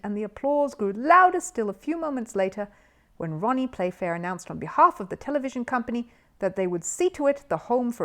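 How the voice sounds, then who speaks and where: A woman storyteller narrates a children's book expressively. Her voice is medium in pitch and moves with lively, varied intonation.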